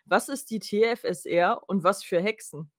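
A young woman, a guest on a casual fan podcast, talking in a calm, measured way. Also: mid-range voice.

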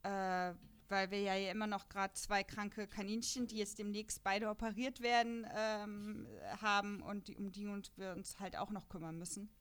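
A woman's voice: mid-range voice, little pitch movement, moderate pace, hardly any pauses, fairly bright tone, clear, resonant tone. A woman with a German accent chatting casually as a podcast host, in a jokey, self-deprecating manner. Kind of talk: casual podcast chat about an audio drama series.

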